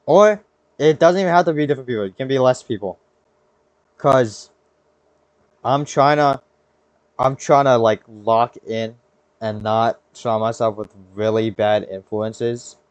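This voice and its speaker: A man's voice: medium pitch, a little slow, pauses often, clear, resonant tone, no room sound, highs cut off. A young adult American man hosting a podcast in a casual, slangy, rambling way, often cutting himself off mid-thought. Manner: slangy, rambling, self-interrupting. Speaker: podcast host. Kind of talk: casual chat.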